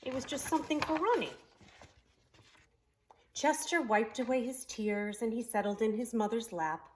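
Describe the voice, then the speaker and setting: A woman preschool teacher reading a picture book aloud in expressive storytelling narration for young children. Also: on the high side, normal speed.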